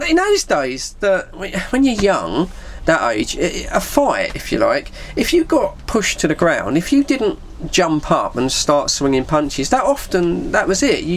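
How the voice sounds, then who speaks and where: A middle-aged man, telling an anecdote casually and animatedly, acting out the dialogue. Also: on the high side. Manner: animated, acts out dialogue with put-on voices. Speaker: video storyteller.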